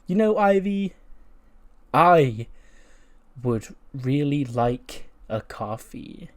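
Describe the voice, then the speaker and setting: A man's voice: mid-range voice, moderate pace, a little mellow, lightly acted. A man voicing a bossy, petulant woman wrestler in a demanding, dismissive manner as he tells a story. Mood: sly, entitled. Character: bossy, petulant woman wrestler ordering her sidekick around.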